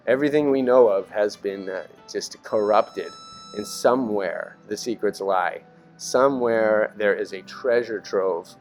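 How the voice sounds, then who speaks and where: A man with a fairly low voice narrates in a casual, rambling style. He muses and speculates with a conspiratorial bent, as if thinking aloud. Soft music plays faintly behind him. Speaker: video narrator. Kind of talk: casual rambling commentary over archive images. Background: background music.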